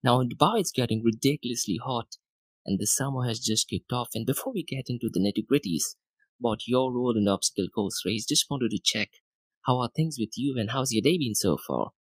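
A man's voice: medium pitch, a little fast, breathy edge, dry recording. A man hosting a podcast interview, enthusiastic and chatty as he asks questions. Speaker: podcast host. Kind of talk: interview host.

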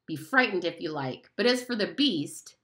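Lady doing a cocky bossy boy from a storybook, sneery and loud, voice jumping high. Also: lively intonation, normal speed. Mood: scornful. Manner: scornful, blustering. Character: bossy boastful boy hunter leader.

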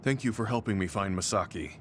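A man's voice: fairly low pitch, quick pace, a bit bright, a little hiss or hum, natural voice. An actor playing a stern, earnest, middle-aged male soldier in a game cutscene, speaking seriously and bluntly. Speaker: game character.

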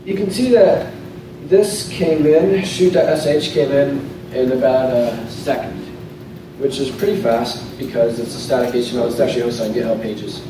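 A man in his thirties speaks at a lectern in a hotel conference room, talking loosely and often restarting his sentences as he walks the audience through a demo. His voice bounces around a very reverberant room and reaches the camera from a distance, with plain steady noise underneath. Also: mid-range voice, normal speed. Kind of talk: casual technical talk with live demo. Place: hotel conference room, in front of a projector screen. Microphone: lectern mic, recorded from a camera at the back of the room. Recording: very echoey room, voice from far off, clear noise.

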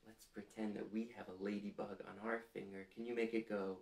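A man in his thirties reading a picture book aloud to young children in a gentle, deliberate way, putting simple questions to them. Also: lowish voice, level tone, vocal fry. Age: 30s. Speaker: storytime reader for children. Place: small indoor living room, seated. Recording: roomy echo.

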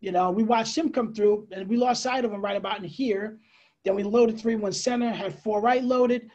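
A middle-aged man with an American accent explains slides as an air traffic controller, conversational and a bit halting, with pauses and asides. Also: high-pitched, steady tone, a little mellow, muffled recording. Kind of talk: informal slide presentation, explanatory. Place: indoors, on a video call.

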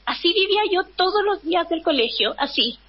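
A woman in her fifties from Chile, a guest telling her life story in a relaxed conversation, acting out scenes from her childhood. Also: very high-pitched, fairly quick, muffled recording. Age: 50s. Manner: animated storytelling, acts out her younger self. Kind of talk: casual interview conversation.

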